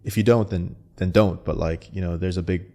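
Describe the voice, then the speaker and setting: Deep, gravelly male founder as interview guest, loose and chatty with restarts. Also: a little fast. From Canada. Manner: relaxed, many fillers and restarts. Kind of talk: casual interview talk.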